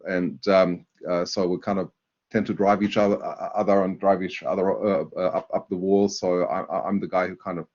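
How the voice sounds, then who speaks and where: A man with a German accent presenting a university webinar, talking in a halting way with many fillers and restarts. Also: sits low, little pitch movement, a little mellow. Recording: dull lo-fi webinar audio.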